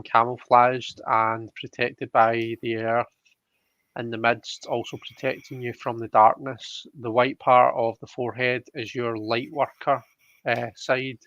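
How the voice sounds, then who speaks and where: A man's voice: mid-range voice, fairly bright tone. A man hosting a casual live stream, reading out viewer comments, in a dull recording with room echo. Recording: dull lo-fi sound, roomy echo.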